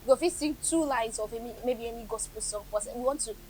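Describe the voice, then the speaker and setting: A woman's voice: fairly high pitch, brisk, dark tone, low-quality recording. A woman hosting a TV interview, teasing and playful, mixing pidgin into her questions. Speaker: TV show host.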